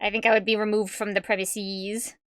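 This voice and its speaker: A woman's voice: mid-range voice, level tone, fairly quick, no room sound, studio quality. A woman streamer chatting casually with viewers in a tiny, cute-sounding voice, giggling often and rambling with fillers. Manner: giggly, laughs often between remarks; rambling, with fillers and self-corrections. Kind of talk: casual livestream chat with viewers.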